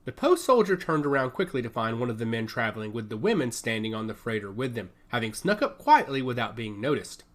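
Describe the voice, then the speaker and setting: Man, mid-pitched voice, lively intonation, scripted dramatic storytelling, audiobook narrator. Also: a little fast, few pauses.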